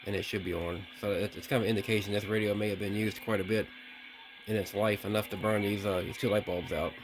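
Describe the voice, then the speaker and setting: A man's voice: on the low side, steady tone, fast, background noise. A man giving a casual, unscripted hands-on demonstration, thinking aloud with some hesitation. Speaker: vlogger testing vintage CB radios.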